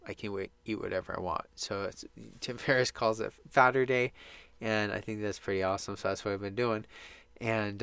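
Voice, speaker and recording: A man with a smooth, fairly low voice that sounds a bit stuffed up in the nose. He hosts a solo podcast in an informal, upbeat, rambling style. The sound is close and dry, as in a small booth. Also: fairly bright tone, no echo. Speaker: podcast host. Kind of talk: casual solo podcast monologue.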